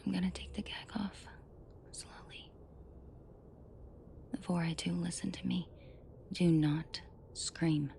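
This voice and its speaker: Casting: actress as female mafia boss for intimate audio roleplay. Low, slow delivery, commanding, dangerous yet protective. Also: steady tone, creaky, mellow. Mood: gentle, commanding. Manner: controlled, commanding, slow and deliberate, often dropping to whispers. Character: female mafia boss, menacing to her men, protective and tender. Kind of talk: scripted roleplay audio drama, intimate direct address to listener.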